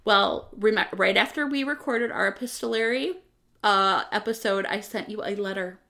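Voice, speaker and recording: A woman's voice: mid-range voice, normal speed, creaky, bright, forceful tone. A woman on a podcast chatting casually, telling anecdotes in an informal, piece-by-piece way. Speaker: podcast guest or co-host. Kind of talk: casual chat.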